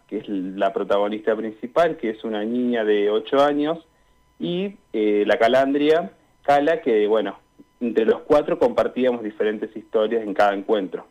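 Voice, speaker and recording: A man's voice: medium pitch, darkish tone. A young man with an Argentine Spanish accent giving casual interview answers as a guest over a call. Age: young adult. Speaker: student guest, radio drama performer. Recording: dull, lo-fi call audio.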